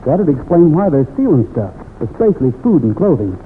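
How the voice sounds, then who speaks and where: An actor playing a confident young cowboy hero in an old radio western, American accent, plainspoken and take-charge. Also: male voice, fairly high pitch, very animated, a little fast. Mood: alert. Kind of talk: 1940s-50s radio western acting. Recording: noisy, tinny old radio recording.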